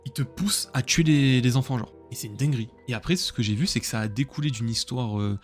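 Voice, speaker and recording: Fast-talking young man hosting a stream. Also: medium pitch, soft background music. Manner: rambling, hesitant with many fillers. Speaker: streamer host. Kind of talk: casual live-stream chat.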